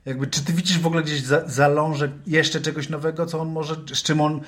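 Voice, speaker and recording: A man chatting casually about football as a panellist, halting and repeating his words. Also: fairly high pitch, flat delivery, fairly bright tone. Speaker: podcast panellist.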